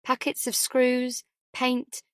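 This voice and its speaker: A woman's voice: high-pitched, monotone, slow pace, no room sound, clean studio sound. A woman reading scripted fiction as an audiobook narrator.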